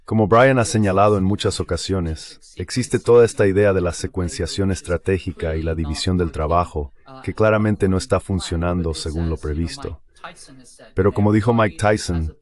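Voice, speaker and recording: Deep-voiced man analysing geopolitics. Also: darkish tone. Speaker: political analyst, guest. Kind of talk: geopolitical commentary, dubbed voice-over.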